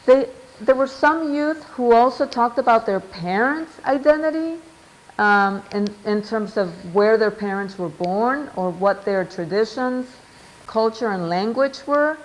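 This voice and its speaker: A middle-aged woman giving an academic seminar talk, measured and thoughtful, often pausing with "um" and checking in with "right?". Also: a little high, animated, rough recording. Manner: measured, reads out quotes, frequent ums and "right?". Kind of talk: academic lecture.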